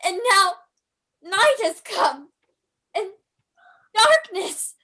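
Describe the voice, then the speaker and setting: Actress as a high, slow, terrified young daughter in an old-time radio horror play. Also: female voice, big pitch swings, pushed and bright, full character voice. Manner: trembling and fearful. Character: frightened young daughter Edith.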